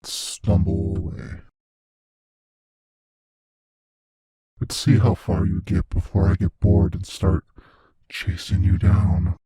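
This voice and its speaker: Predatory monster played by a man, ASMR audio drama: dark soft tone, unhurried, breathy, toying and menacing. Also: low voice, steady tone, dry recording, exaggerated voice. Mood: amused taunting. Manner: taunting, deliberate, often dropping into breathy whispers. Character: sadistic, playful predatory monster toying with his prey.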